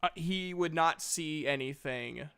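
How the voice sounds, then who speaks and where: A man's voice: on the high side, unhurried, fairly bright tone, clean tone. A man casually narrating and bantering as he runs a tabletop roleplaying game.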